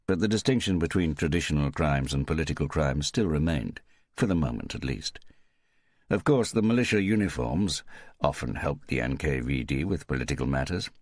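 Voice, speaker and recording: Very deep-voiced male audiobook narrator. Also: no echo, clean studio sound. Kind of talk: scripted novel narration.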